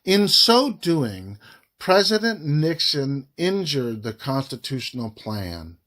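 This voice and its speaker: A man reading a formal report aloud in deliberate phrases, with frequent breaks between them. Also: fairly high pitch, big pitch swings, fairly bright tone. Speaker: reader of a government report.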